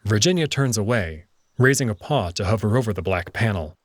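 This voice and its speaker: Low-voiced man narrating with lively pitch. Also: fairly quick, no room sound, clean studio sound. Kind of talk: first-person dramatic story narration.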